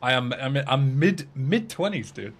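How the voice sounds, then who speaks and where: A man's voice: medium pitch, big pitch swings, measured pace, breathy edge. A young man in his twenties with an English accent, chatting casually on a livestream and answering viewers' messages aloud. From England. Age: 20s. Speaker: streamer and speedrunner.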